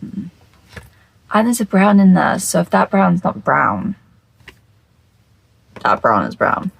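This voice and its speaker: Croaky, creaky, scatterbrained video creator. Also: mid-range voice, very animated, slow pace, a bit bright, rough recording. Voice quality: croaky, just-woken voice. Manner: scatterbrained, repeats phrases, sweary. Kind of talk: casual rambling chat to camera.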